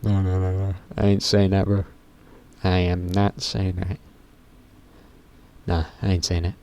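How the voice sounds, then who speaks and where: A teenage boy with a very deep voice, rambling casually to camera in a vlog, often stopping himself mid-sentence and swearing offhandedly. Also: level tone, a little slow, vocal fry, mellow. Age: teens. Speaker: YouTuber.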